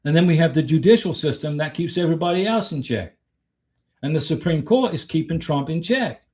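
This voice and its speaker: Higher-pitched American man, blunt livestream host. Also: expressive, fairly quick, darkish tone. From Florida, United States. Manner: emphatic, blunt, rhetorical questions. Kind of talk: casual opinionated livestream talk, answering chat comments.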